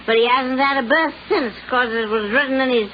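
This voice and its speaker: An actress playing a plain-spoken, colloquial lodging-house keeper in a 1940s radio drama. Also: female voice, sits high, a little fast, lightly acted. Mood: matter-of-fact. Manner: colloquial, chatty. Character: plain-spoken lodging-house woman, talkative, keen on money. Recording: muffled old radio recording, faint noise.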